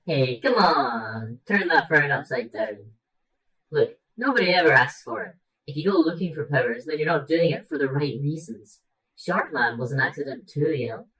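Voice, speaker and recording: A very husky cranky robot sidekick in a superhero radio play, talking casually and coaxingly like a mentor. The voice is rough and sits a little away from the mic. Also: medium pitch, lively intonation, normal speed, a bit bright, far from the mic, low-quality recording. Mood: encouraging.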